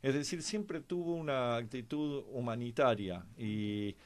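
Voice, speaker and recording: A man's voice: medium pitch, a little slow. An Argentine man discussing history conversationally as a panelist, digressing and adding tag questions.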